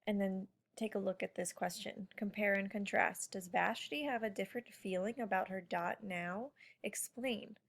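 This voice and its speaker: A woman with an average-pitched voice speaks as an elementary teacher in an informal lesson. She addresses young children directly, guiding them with questions and short comments. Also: fairly even intonation, moderate pace, gravelly, few pauses.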